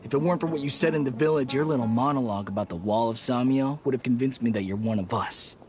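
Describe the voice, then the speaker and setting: An actor playing a stern, condescending, zealous rebel leader in a video game. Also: male voice, medium pitch, fast, darkish tone, soft background music, close to an everyday voice. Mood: cold disappointment. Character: scornful, zealous male desert rebel leader. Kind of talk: anime-style video game voice acting.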